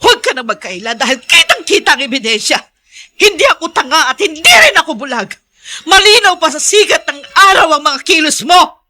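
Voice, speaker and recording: A woman's voice: high-pitched, sing-song, fairly quick, bright, forceful tone, exaggerated voice. A woman playing a furious, accusing woman in a radio melodrama. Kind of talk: radio drama acting.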